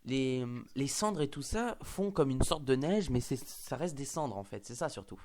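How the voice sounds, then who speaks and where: Male host, mid pitch, slightly congested, casual and hesitant. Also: expressive, normal speed, darkish tone, slight background noise. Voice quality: hesitant, many fillers and restarts. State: has a cold. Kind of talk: casual fan discussion.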